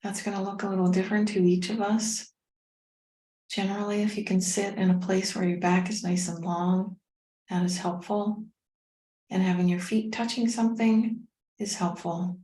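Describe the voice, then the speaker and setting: Woman, meditation teacher, soothing and unhurried, soft dark timbre, roomy echo. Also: medium pitch, little pitch movement. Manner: gentle, unhurried, soothing instruction with pauses. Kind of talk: guided meditation. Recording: roomy, echoing sound.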